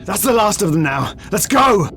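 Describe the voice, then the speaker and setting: Man playing a high-voiced, defiant veteran soldier. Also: big pitch swings, normal speed, a bit bright, soft background music. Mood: urgent warning. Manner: insistent, pointed rhetorical questioning. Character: defiant, cynical veteran soldier, male, persuasive deserter.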